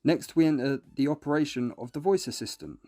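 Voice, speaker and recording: A man's voice: a little high, expressive, brisk, mellow. A man reading a scripted product review in an instructional, step-by-step way. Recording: music bed behind voice. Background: music.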